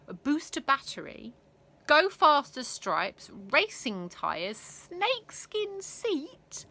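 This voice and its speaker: A woman reading a children's bedtime story aloud in a theatrical, emphatic manner, with dramatic pauses. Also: mid-range voice, sharp tone.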